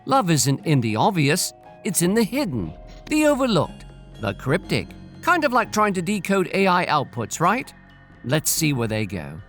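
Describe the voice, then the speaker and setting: A man's voice: on the high side, huge pitch swings, moderate pace, fairly bright tone, soft background music. A man telling a scripted story and adding playful, theatrical commentary as host.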